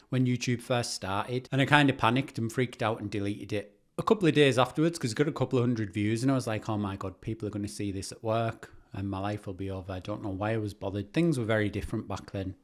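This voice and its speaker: A British man with a lowish voice talks as a YouTuber. His delivery is casual and self-mocking, like informal commentary on his own work. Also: fast talker. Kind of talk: casual reaction commentary on old videos.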